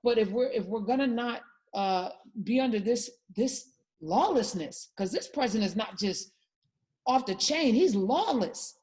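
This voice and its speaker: A woman's voice: on the high side, animated, a little slow, darkish tone, breathy edge. A woman hosting a talk show, speaking with emphatic, passionate conviction in casual political discussion.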